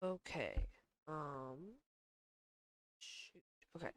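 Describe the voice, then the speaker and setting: A young amateur streamer chatting casually and haltingly, sounding stuffy from allergies. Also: mid-range voice, expressive, a bit bright, dry recording. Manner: halting, hesitant. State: congested from allergies. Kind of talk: casual unscripted stream chat.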